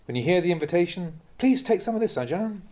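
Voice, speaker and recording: Man reading Buddhist teachings, measured delivery. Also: high voice, animated, a little mellow. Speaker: reader of Buddhist teachings, monk. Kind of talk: reading a translated dharma talk aloud.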